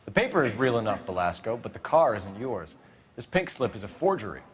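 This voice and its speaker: Stern detective, widely swinging pitch. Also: male voice, very animated, fairly quick, slight background noise. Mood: stern, pressing. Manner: clipped, pressing, authoritative. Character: stern, by-the-book young male police detective. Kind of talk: dramatic video game acting, 1940s crime drama. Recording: music under the voice.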